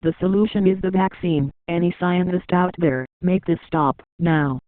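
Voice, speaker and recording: A man's voice: high voice, moderate pace, no echo, lightly acted. A man playing an urgent survivor broadcasting a plea in an emergency drama. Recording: dull lo-fi transmission.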